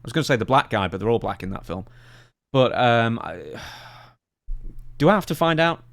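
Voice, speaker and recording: A man co-hosting a casual wrestling talk podcast in an animated, exasperated, opinionated way. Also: mid-range voice, moderate pace. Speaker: podcast co-host.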